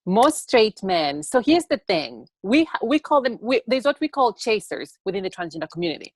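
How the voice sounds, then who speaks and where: A young woman from Tanzania answering interview questions on a video call in an earnest, halting way that often repeats words. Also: mid-range voice, big pitch swings, soft background music. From born in Tanzania. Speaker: interview guest, TikTok educator.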